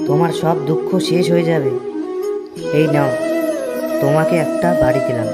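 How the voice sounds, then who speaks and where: A man's voice: on the high side, close to an everyday voice. A man voicing a kindly, all-knowing god in a cartoon, solemn and reassuring. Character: benevolent, all-knowing god granting a wish. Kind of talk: cartoon character dialogue.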